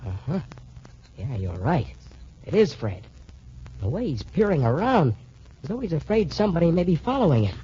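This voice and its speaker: An actor playing a loyal, wisecracking teenage cadet sidekick in 1940s radio style, with a folksy, emphatic delivery. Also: male voice, fairly high pitch, big pitch swings, moderate pace, pauses often, warm tone, husky, highs cut off, exaggerated voice. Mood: suspicious. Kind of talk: 1940s radio adventure acting. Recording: band-limited 1940s radio recording.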